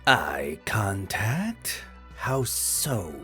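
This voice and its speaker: Woman acting a male snake alien recruit, very low, swooping intonation, breathy, earnest, sci-fi audiobook. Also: sing-song, drawn-out words, sharp tone, faint music behind, exaggerated voice. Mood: amused, curious.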